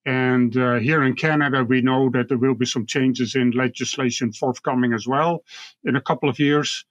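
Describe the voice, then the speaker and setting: A man with a Dutch accent explaining things as an expert guest in an interview, measured and halting, often repeating words. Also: mid-range voice, steady tone, dry recording, highs cut off. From Netherlands. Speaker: guest expert, university professor.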